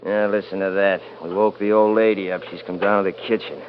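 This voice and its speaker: An actor playing a domineering, scornful eldest-brother rancher in a 1950s radio drama, with folksy Western speech. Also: male voice, deep voice, little pitch movement, fairly quick, dull audio, close to an everyday voice. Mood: harsh, mocking. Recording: hissy 1950s radio recording.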